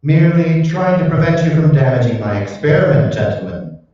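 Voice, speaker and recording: A man playing a smug, formal villainous research director in a radio drama, speaking deliberately and with condescension. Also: a little high, dark tone, slight background noise, natural voice. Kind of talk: old-time radio drama acting. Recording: echoey hall, distant dull mic.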